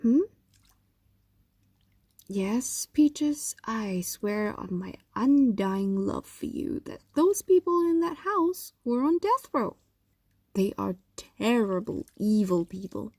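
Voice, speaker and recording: An ancient female fox demon, acted by a woman dotes possessively on a lover in an intimate audio roleplay. The voice is mellow and breathy, sliding into whispers, with widely swinging pitch. Coaxing and hesitant, this creature sounds tender and dangerous at once. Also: animated, takes it slowly, no room sound. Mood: firm, devoted, then pleading, grudging.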